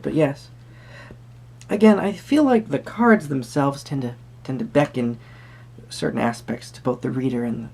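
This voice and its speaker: A man playing an eccentric, courtly tarot card reader, speaking softly and politely to the listener with theatrical, rambling musings and murmured asides in a husky, breathy voice. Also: a little high, a little hiss or hum. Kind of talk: soft-spoken tarot reading roleplay to the viewer.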